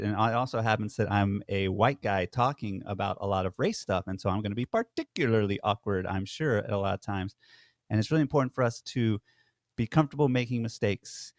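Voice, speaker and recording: A man's voice: lowish voice, no room sound, studio quality. A young adult man co-hosting a casual podcast over a video call, friendly and self-deprecating, talking with plenty of "uh" and "you know.". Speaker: podcast co-host.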